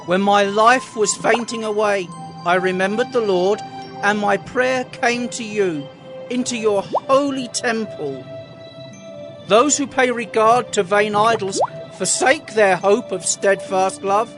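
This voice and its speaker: Man acting an anguished prophet, very high-pitched voice. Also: slow pace. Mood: anguished, prayerful. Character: Jonah, anguished male Hebrew prophet praying in distress. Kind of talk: dramatized scripture reading. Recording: music under the voice.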